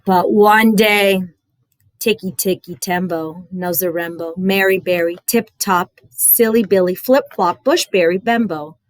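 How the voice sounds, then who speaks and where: A woman reading a story aloud to young children, expressive and dramatic, with instructive explanatory asides. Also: on the low side, unhurried, dry recording.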